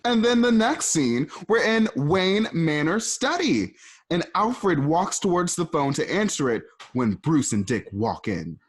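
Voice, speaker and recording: Guy narrating scene directions, bouncy and animated, bit husky. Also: on the high side, a little slow. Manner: lively, playful, asks and answers his own questions. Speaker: narrator of a radio play.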